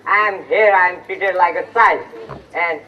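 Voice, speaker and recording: Muffled, highish young man as a petulant suitor. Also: unhurried. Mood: indignant. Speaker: student actor. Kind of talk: amateur stage play acting. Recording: muffled, distant mic, noisy room.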